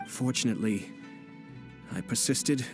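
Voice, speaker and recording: A man voicing a composed, courteous and shrewd young clan head in a video game, speaking in a measured, formal manner. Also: mid-range voice, soft background music, close to an everyday voice. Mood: solemn, measured. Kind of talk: scripted video game dialogue.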